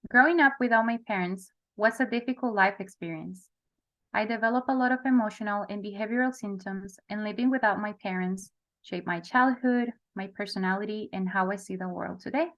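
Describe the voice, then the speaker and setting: A woman's voice: medium pitch, a little fast, fairly bright tone, lo-fi sound. A young woman from El Salvador, a therapist, earnestly telling her life story in a close-mic recorded video. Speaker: honoree, therapist.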